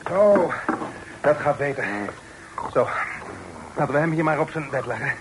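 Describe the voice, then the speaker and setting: An actor playing a decent, anxious gentleman in a radio play, courteous and hurried as he steers drunken friends. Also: fairly high pitch, expressive, lightly acted. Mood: urgent. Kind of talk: Dutch radio play acting. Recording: very noisy old radio play recording.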